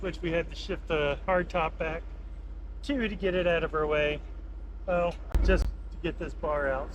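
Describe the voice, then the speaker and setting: A man giving a casual, unscripted hands-on installation walkthrough, thinking aloud informally as he works. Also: a little high. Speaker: vehicle installer presenting a how-to video. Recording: noisy, with clear background vehicle noise.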